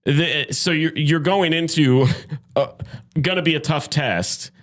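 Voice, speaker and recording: A man's voice: fairly high pitch, slow. A man hosting a sports podcast, debating casually and making his points emphatically with plenty of statistics. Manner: opinionated, emphatic, cites statistics.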